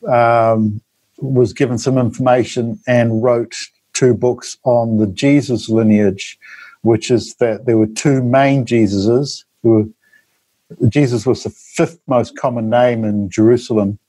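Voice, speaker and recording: NZ-man in his fifties, interview guest, dark mellow tone, dry sound, rambling delivery. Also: mid-range voice, steady tone, slow pace, no echo, low-quality recording. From New Zealand. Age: 50s. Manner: rambling, many ums and tag questions. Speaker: guest author. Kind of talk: interview monologue.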